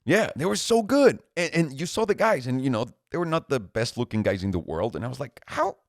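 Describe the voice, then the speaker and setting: A man's voice: medium pitch, very animated, a little fast, no room sound, studio quality. A man, co-hosting a podcast in a chatty, joking way. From Mexico. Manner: joking, talkative, laughs often. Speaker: podcast co-host. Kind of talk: casual chat.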